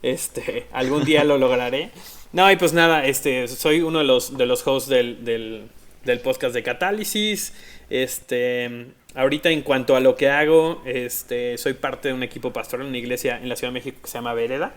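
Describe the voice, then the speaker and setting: A man's voice: medium pitch, moderate pace. A man from Mexico with a Mexican accent, a pastor and podcast co-host, chatting casually and laughing easily. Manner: lively, laughing, many "este" fillers. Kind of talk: casual interview talk.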